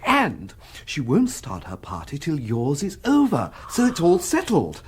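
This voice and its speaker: A man playing a fussy, timid gentleman in a British radio comedy drama, with an upper-class English accent and a hesitant, flustered way of talking. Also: a little high, huge pitch swings, a little slow, a little hiss or hum. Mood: anxious, relieved. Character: fussy, timid, eager-to-please gentleman go-between.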